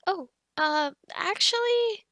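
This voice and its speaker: A woman performs an upbeat female office boss for an ASMR roleplay. Her voice is high and bright, recorded very dry. She chats in a bubbly, slightly scatterbrained way, hesitating as she goes and always encouraging. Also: lively intonation, takes it slowly, no room sound, full character voice. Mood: helpful, chatty. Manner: bubbly, chatty, with giggles and hesitations. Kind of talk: ASMR roleplay acting, conversational.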